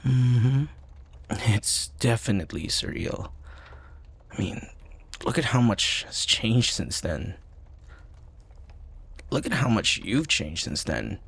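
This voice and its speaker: A man playing an affectionate, playful university student best friend in an intimate roleplay, talking softly and a little hesitantly in a husky voice. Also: mid-range voice, big pitch swings, slow, pauses often, no room sound, exaggerated voice. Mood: tender, sincere. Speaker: audio roleplay voice actor. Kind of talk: intimate boyfriend ASMR roleplay.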